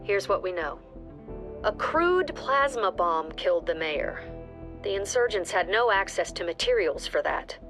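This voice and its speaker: A composed, authoritative director, voiced by a woman giving measured mission briefings in a game. Her voice sits at a middle pitch and stays businesslike throughout. Soft music plays under her. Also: expressive, natural voice. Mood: calm.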